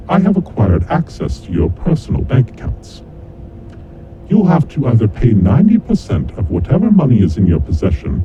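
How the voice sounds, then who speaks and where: A man acts out a menacing male kidnapper from a horror audio drama, cold and vengeful. He delivers his rules with theatrical, deliberate formality, his mid-pitched voice rising and plunging dramatically. The recording is dull and lo-fi, with clear rumbling noise behind him. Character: menacing male kidnapper mastermind, coldly vengeful game announcer.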